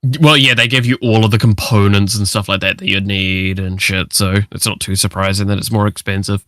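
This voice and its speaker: A New man in his twenties chats on a livestream in a slightly low, forceful voice. His talk is loose and slangy, full of swearing, with a very dry sound and little room to it. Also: flat delivery, a little fast, no echo. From New Zealand. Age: 20s. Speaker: streamer. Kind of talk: casual livestream chat.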